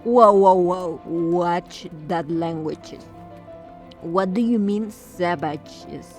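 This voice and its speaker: Slow-spoken woman reacting to a game. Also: lowish voice, a little mellow, soft background music. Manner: emotionally reactive, broken phrasing. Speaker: streamer reacting to game footage. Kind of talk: casual game reaction commentary.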